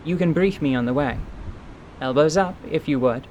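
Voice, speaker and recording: A woman playing a strong, amused adventurer woman with a dry, brisk, teasing manner in an audio drama. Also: low-pitched, mellow, slight background noise, close to an everyday voice, poor audio.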